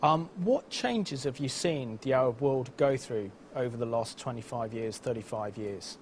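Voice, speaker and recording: A man's voice: medium pitch, moderate pace, a little hiss or hum. A man hosting an interview, asking formal, polite questions with hesitant restarts. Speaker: interview host.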